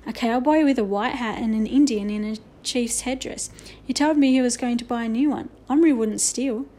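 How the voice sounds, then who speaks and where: A woman's voice: fairly high pitch, normal speed, warm tone, natural voice. A woman voicing a loyal schoolboy in a storybook, firm and plain-spoken. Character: loyal, staunch schoolboy standing up for his friend.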